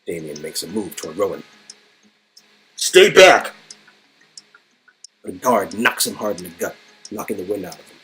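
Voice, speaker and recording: A man's voice: low-pitched, quick pace, a bit bright, faint music behind, rough recording. A man reading dramatic scripted narration from an interactive story game. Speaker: narrator and co-reader.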